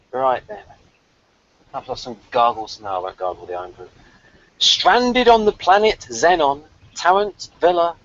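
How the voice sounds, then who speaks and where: A man's voice: mid-range voice, fairly bright tone. A man co-hosting a review show, chatting casually and reading out episode summaries over a lo-fi online call. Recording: dull, lo-fi online call audio.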